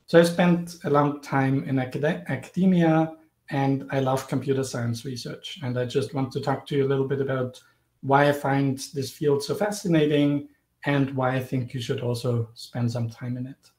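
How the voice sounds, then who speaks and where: A man in his forties from Austria with an Austrian accent, giving a clear, lightly humorous guest lecture over a video call. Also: medium pitch, fairly even intonation, normal speed, a little mellow. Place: indoors, home or office, virtual background. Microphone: video call webcam. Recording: roomy video call audio.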